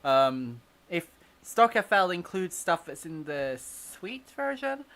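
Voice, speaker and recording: Lowish voiced woman, calm practical tips on a stream, a few ums. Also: slow pace. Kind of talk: casual feedback chat.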